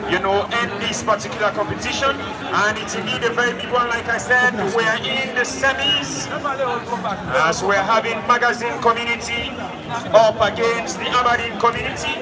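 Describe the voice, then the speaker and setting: A man with a Sierra Leonean accent giving live football commentary in emphatic, repetitive phrases. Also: extremely high pitch. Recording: distant mic in loud crowd. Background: loud crowd at outdoor ground.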